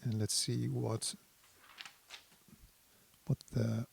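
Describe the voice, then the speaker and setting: A man's voice: medium pitch, little pitch movement, extremely slow, creaky, a little mellow, slight background noise. A man giving a casual hands-on tutorial, talking as a presenter who thinks aloud while he works. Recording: synthesizer tones behind the voice. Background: synthesizer sounds.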